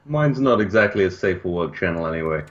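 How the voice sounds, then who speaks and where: Young male streamer with a West Midlands accent, very low voice, wry and laid-back, slightly muffled audio. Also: lively intonation, fast talker. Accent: West Midlands English. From West Midlands, England. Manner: laid-back, wry, reads chat aloud. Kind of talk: casual livestream chat. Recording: dull lo-fi sound.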